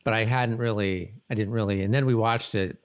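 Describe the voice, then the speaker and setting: A man hosting a podcast in casual chat, talking in a halting, rambling way and often correcting himself. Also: on the low side, fairly even intonation, clear, resonant tone. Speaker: podcast host.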